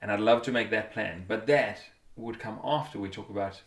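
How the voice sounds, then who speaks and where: A man's voice: on the low side, normal speed. Man talking in an echoey room. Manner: eager, restarts and repeats while planning aloud. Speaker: podcast co-host. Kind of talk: casual chat.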